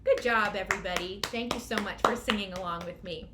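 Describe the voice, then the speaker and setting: A woman's voice: mid-range voice, fairly quick. A woman hosting a children's storytime as a librarian, giving simple, encouraging instructions to young children. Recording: clearly noisy room recording.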